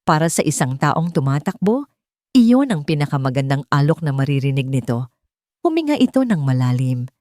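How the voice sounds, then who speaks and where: Female narrator for romance fiction, lower register, dramatic scripted storytelling, dry sound. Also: sing-song, moderate pace, warm tone, dry recording. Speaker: storyteller of Tagalog romance audio stories.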